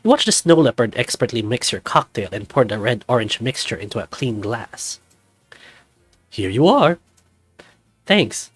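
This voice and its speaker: A man reading scripted second-person narration for a visual novel playthrough in an expressive storytelling style. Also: lowish voice, brisk, hardly any pauses, breathy edge, no room sound, faint music behind.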